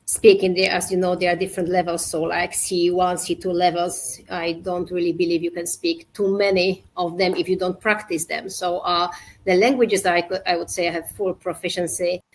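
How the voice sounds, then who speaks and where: A woman in her forties from Poland, with a slightly low voice. She is a guest in a casual interview and picks her words with care in a measured, searching way. She speaks over a video call. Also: level tone, low-quality recording. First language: Polish.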